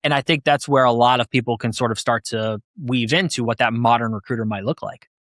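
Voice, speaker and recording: A man's voice: mid-range voice, a little fast, a bit bright, no room sound, clean studio sound. A man hosting a podcast, talking conversationally as he shares views and puts questions to a guest. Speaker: podcast host. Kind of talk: conversational interviewing.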